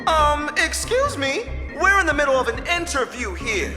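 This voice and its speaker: An actor playing an excitable, theatrical game-show style livestream announcer who gets flustered easily, in a video game. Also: male voice, very high voice, moderate pace, in a large hall, over music. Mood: showy hosting.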